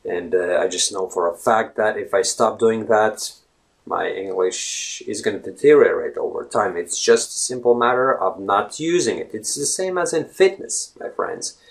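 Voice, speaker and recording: A man with a Latvian accent and a slightly low voice talks casually straight to the camera. He wanders off the subject as he goes and keeps tagging his points with a questioning "right?". He sounds like someone in his thirties. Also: moderate pace, creaky. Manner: rambling, keeps asking "right?". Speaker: language blogger.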